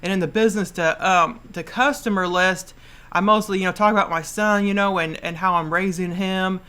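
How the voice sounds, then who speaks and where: A woman's voice: mid-range voice, steady tone, moderate pace, a bit bright, clean tone. A woman in her late thirties hosting a podcast solo, casually giving advice in a rambling, repetitive way and stumbling over words. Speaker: podcast host.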